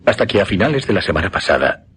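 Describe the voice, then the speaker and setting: An actor playing an intense mesmerist who recounts a macabre experiment in a suspense radio drama, with a Castilian accent and deliberate, emphatic delivery. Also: male voice, deep voice, very animated, fairly bright tone, a little hiss or hum. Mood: solemn, deliberate. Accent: Castilian Spanish.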